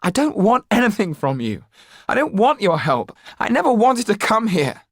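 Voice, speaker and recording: A man's voice: sits high, sing-song, moderate pace, pitched above the performer's own voice, heavily acted. A man voicing an angry, stubborn, grieving orphan boy in a storybook reading, speaking with strong emotion. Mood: furious, tearful. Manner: emotional, through gritted teeth or shouting.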